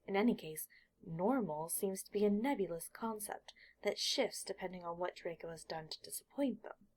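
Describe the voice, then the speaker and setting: Female narrator, mid-pitched, lightly husky, scripted storytelling, audiobook delivery. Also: normal speed, darkish tone.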